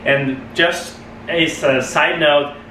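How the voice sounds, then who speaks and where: A young man with a Polish accent gives an earnest club speech, restarting phrases as he searches for the right words. His voice is bright and fairly high for a man. He sounds set back from the microphone in an echoey meeting room. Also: fairly even intonation, very slow, slight background noise. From Poland. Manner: earnest, restarts phrases, non-native grammar. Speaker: club speaker. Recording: echoey room, mic far off.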